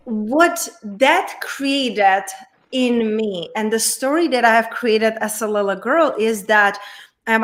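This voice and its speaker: A woman's voice: fairly high pitch, a bit bright. A woman from the Czech Republic with a Czech accent, a guest coach sharing her personal story on a talk show in an earnest, reflective way.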